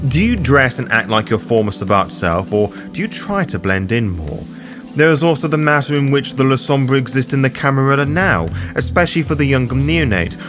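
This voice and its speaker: A man hosting a tabletop roleplaying lore guide, reading scripted explanatory narration. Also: medium pitch. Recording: voice over loud music bed. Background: music.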